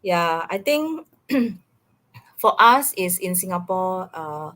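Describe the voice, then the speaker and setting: A middle-aged woman from Indonesia with an Indonesian accent, speaking haltingly as a guest in a casual live online talk. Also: on the low side, big pitch swings, fairly bright tone.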